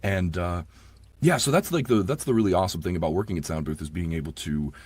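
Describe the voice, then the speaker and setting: Man, deep husky voice, casual interview chat, halting and rambling, dry sound. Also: darkish tone, dry recording. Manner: rambling, halting, restarts sentences often. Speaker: audiobook narrator and producer.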